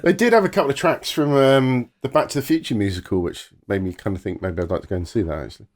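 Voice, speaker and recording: A man's voice: medium pitch, fast. A middle-aged man co-hosting a podcast, telling stories in an easygoing, chatty way. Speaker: podcast co-host. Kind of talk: casual chat.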